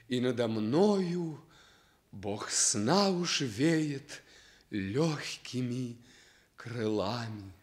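A slow-speaking noble young prince. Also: male voice, mid-range voice. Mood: weary. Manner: lofty, theatrical, lyrical phrasing. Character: noble lovestruck young prince, proud and fatalistic. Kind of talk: declamatory classic stage acting in a radio play.

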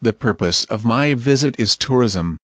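A man reading English travel phrases one by one in a measured, plain way for learners. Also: mid-range voice, lively intonation, fairly bright tone, dry recording. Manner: measured, plain, each phrase read alone. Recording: dull, slightly muffled dry audio.

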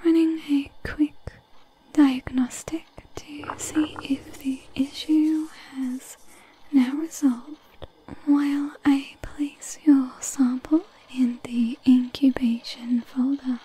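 A woman playing a gentle, caring robot-repair nurse in an ASMR roleplay, with a soft breathy whisper. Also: high-pitched, fairly even intonation, poor audio. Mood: soothing, attentive. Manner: slow, soothing, reassuring.

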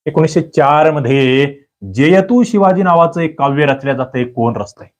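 A man giving a quiz-style exam-prep lecture, firing questions and demanding quick answers from his students. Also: animated. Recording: muffled low-fidelity recording.

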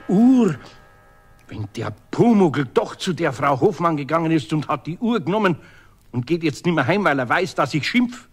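A man's voice: medium pitch, husky. An actor playing a worried, good-hearted middle-aged craftsman with a Bavarian accent in a children's radio play, hesitant and homely.

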